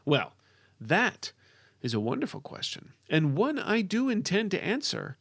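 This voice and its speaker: A man's voice: sits high, huge pitch swings, unhurried, vocal fry, fairly bright tone, dry recording, clean studio sound, pitched above the performer's own voice, exaggerated voice. Man playing a theatrical minstrel with swooping delivery. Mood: teasing, knowing. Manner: grandiloquent, teasing, addressing listeners with rhetorical questions. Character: theatrical wandering minstrel tale-teller, playful and knowing. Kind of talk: dramatic fantasy storytelling.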